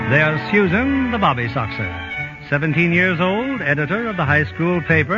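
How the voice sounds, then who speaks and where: A man narrating from a script as a 1940s radio announcer. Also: a little high. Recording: muffled old radio broadcast.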